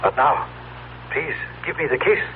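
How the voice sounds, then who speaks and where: Man playing a stilted, high-pitched future humanoid, tinny radio. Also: natural voice. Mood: solemn, commanding. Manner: stilted, measured, solemn diction with deliberate pauses. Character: formal, proud, mouthless telepathic humanoid from far future. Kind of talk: old-time radio drama acting. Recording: tinny, humming, noisy radio recording.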